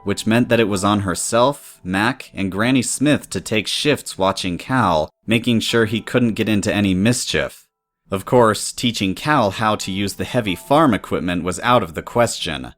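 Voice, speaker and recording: Low-voiced male story narrator. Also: a bit bright.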